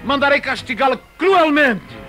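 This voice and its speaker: An actor playing an imperious Roman governor who wavers before a mob, declaiming in deliberate, oratorical phrases in a religious drama. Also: male voice, very high voice, lively intonation, sharp tone, faint music behind, heavily acted. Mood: pleading, firm. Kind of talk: theatrical religious audio drama.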